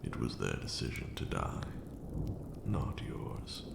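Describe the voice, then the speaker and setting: Ancient vampire master male actor: unhurried, courtly, gravel-throated ASMR delivery, stern but caring, in a roomy, noisy recording. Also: huge pitch swings, full character voice. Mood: solemn, consoling. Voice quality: gravelly, very deep. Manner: formal, archaic, measured phrasing. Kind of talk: ASMR roleplay, slow intimate monologue. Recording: roomy echo with audible background noise.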